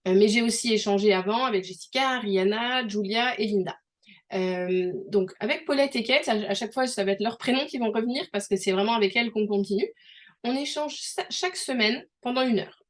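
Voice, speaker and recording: A middle-aged woman from France, talking casually with a somewhat high voice. She is chatty and explains things through everyday examples, often restarting her sentences. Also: a little fast. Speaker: host. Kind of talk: casual conversational interview.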